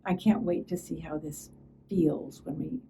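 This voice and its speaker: A woman's voice: deep voice, steady tone, a little slow, creaky, mellow. An older woman, an actor, speaking reflectively in an interview. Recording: distant mic, faint background noise.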